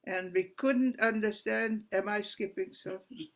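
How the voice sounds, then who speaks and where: A woman, giving her personal testimony as a survivor in a slow, halting, earnest way, pausing often and sometimes restarting her sentences. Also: mid-range voice. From Hungary. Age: 90s. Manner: loses her place and restarts. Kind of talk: personal testimony on a live stream.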